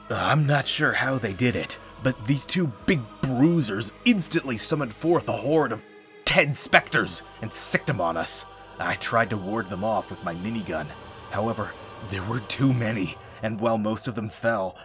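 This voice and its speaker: A man's voice: mid-range voice, sharp tone, pitched above the performer's own voice, exaggerated voice. A man playing a grim, wry space marine who narrates his battles in a sardonic, hard-boiled audio drama style. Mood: tense, urgent. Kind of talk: scripted first-person audio drama narration.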